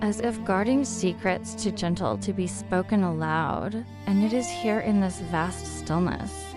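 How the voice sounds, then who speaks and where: Female sleep-story narrator, medium pitch, calm meditative delivery, gentle pacing of phrases, set over music. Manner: calm, soothing, unhurried. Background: background music.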